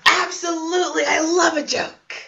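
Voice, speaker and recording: A woman's voice: very high voice, little pitch movement, normal speed, creaky, bright, forceful tone, muffled recording. A woman co-presenting a storytime with playful, casual chat.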